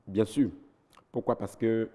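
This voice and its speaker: A man's voice: lowish voice, steady tone, slow pace, dark tone, in a large hall. Beninese man explaining health advice. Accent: Beninese French. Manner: didactic, lists points methodically. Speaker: ENT doctor guest. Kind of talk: health advice on a TV talk show.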